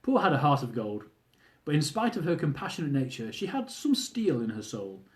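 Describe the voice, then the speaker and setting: A man's voice: a little high, sing-song, normal speed. A man reading his own humorous memoir aloud, telling the story wryly and speaking asides to his listeners. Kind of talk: audiobook-style reading of a travel memoir.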